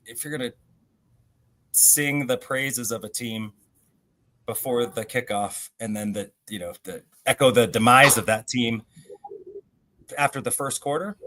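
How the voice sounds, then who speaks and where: A man's voice: medium pitch, sharp tone, low-quality recording. Man co-hosting a casual sports talk. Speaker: co-host of a sports show. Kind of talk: casual sports discussion over video call. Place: remote video call.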